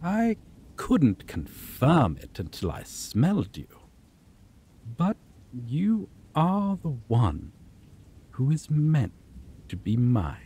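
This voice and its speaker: Man playing a possessive male vampire, menacing, low teasing delivery, whispered bits, lively pitch, ASMR roleplay audio. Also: slow pace, pauses often, a little hiss or hum. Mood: taunting.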